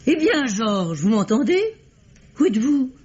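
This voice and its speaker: An actor playing a fussy middle-aged theater manager who talks in an agitated, exclamatory, bustling way, in an old radio play. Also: male voice, very high-pitched, sing-song, measured pace, darkish tone, exaggerated voice. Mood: flustered. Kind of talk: 1950s French radio drama acting. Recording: muffled old radio broadcast with faint hiss.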